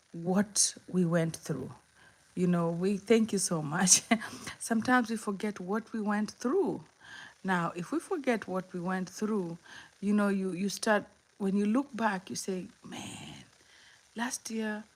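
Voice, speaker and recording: A woman in her fifties with a Kenyan accent, hosting a casual live chat. She talks in a chatty, encouraging way, laughs often and answers viewers' comments. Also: on the low side. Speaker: livestream host.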